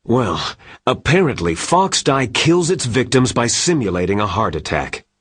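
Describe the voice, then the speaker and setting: A secretive older military adviser in a video game. He has a husky voice that moves expressively up and down, yet stays measured and conspiratorial. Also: mid-range voice, sing-song, fairly bright tone, no room sound, clean studio sound. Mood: grave, confiding.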